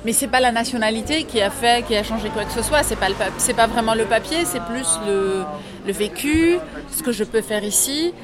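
A woman from Lebanon, an actress and drama teacher, answering interview questions in a relaxed, thoughtful way with many small hesitations. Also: a little high, fairly quick, crowd noise. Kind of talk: casual interview in a café. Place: busy café or restaurant. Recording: noisy crowded café.

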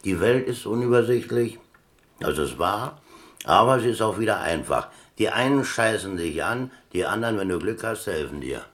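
An actor playing a worldly, plain-spoken prison inmate in a radio drama, explaining things in a colloquial, laconic, matter-of-fact way. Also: male voice, fairly low pitch, lively intonation, moderate pace, a bit bright. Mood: wry, philosophical.

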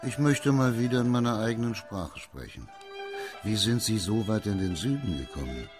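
A man playing a composed, probing judge investigating incognito in a radio drama, measured and authoritative. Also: lowish voice, very animated, music in the background. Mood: patient, probing.

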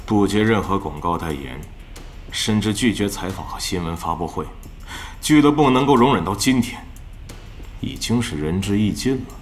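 A man's voice: on the low side, animated, moderate pace, faint music behind, lightly acted. A man playing a stern, businesslike club manager in an audio drama, speaking in a cold, formal, official way.

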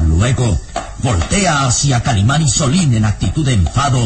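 A man's voice: mid-range voice, sing-song, moderate pace, heavy background noise. A man narrating a scripted radio serial in a theatrical, suspenseful manner. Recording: radio drama with loud music behind.

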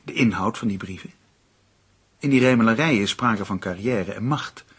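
An actor playing a brisk, matter-of-fact, sceptical husband in a Dutch radio drama. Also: male voice, lowish voice, husky. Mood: firm, reasoning. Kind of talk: Dutch radio play acting.

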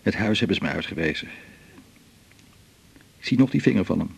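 An actor playing a melancholy man in his forties in a radio drama, with a gravelly, husky voice, confiding in a halting, reflective way. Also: sits low, animated, exaggerated voice. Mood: pained remembering. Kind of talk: naturalistic radio drama dialogue.

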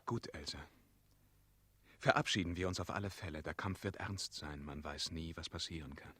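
An actor playing a brave, earnest dragon-slayer knight in love, speaking with intimate ardour in a 1960s radio drama. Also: male voice, low voice, normal speed, bright, forceful tone, husky, no echo, full character voice. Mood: tender, grave.

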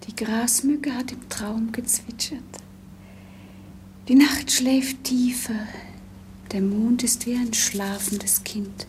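An actress playing a fragile, dreamy young princess, speaking wistful poetry in a hushed, breathy voice in 1950s radio drama. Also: female voice, fairly high pitch, steady tone, slow pace, slight background noise. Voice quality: breathy, hushed, near-whisper. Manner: poetic, wistful.

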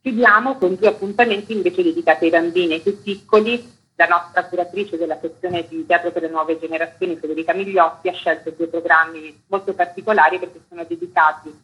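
A woman's voice: fairly low pitch, steady tone, a little fast, fairly bright tone, husky, low-quality recording. A woman being interviewed on the radio as an artistic director, explaining a programme in detail.

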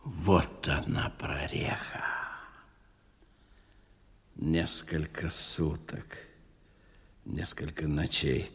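Slow, husky, menacing crime boss. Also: male voice, extremely low pitch, flat delivery, a bit bright, full character voice. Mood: brooding, puzzled. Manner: bullying, interrogating, repeats himself broodingly. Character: menacing, impatient male crime boss, condescending and suspicious. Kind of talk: Russian radio drama acting.

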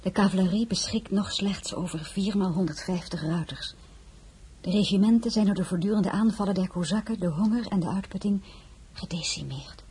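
Mellow, earnest young Frenchwoman. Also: mid-range voice, normal speed, slightly rough. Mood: grave, urgent. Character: younger Frenchwoman, earnest, anxious, plain-spoken heroine. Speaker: actress and narrator. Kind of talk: acted audio drama with first-person narration.

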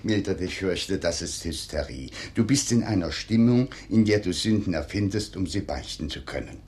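A man's voice: animated, normal speed, darkish tone. A man playing a sceptical husband in a German radio play, dryly dismissive and incredulous toward his wife. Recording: faint low rumble.

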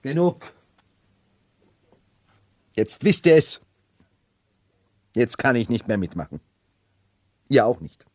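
A man's voice: medium pitch, very animated, slow, long pauses, darkish tone, no room sound. An actor playing a brooding nobleman who feigns madness as a medieval emperor, theatrical and mercurial, in a 1970s radio play. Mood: bitter, disgusted. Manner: theatrical, mercurial, dwelling on words then bursting out.

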